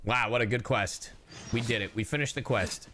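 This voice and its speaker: A man's voice: medium pitch, moderate pace, bright, forceful tone. A man doing casual, jokey livestream commentary over a video game, playful and full of slang. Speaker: streamer, VTuber. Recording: game music behind. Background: video game music.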